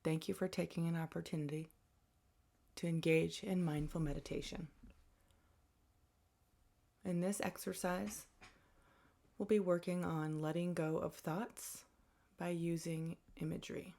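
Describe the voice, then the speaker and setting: A woman leading a guided meditation as an instructor, with gentle, invitational, conversational phrasing. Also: fairly low pitch, fairly even intonation, unhurried, creaky, pauses often.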